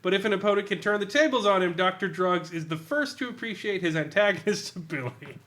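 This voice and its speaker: A man's voice: high voice, expressive, fast talker, a bit bright, a little hiss or hum. A man hosting a podcast in a humorous, sardonic review style, reading aloud and commenting with amusement. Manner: amused, sardonic, often laughing while talking. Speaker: podcast host reviewing a tabletop game.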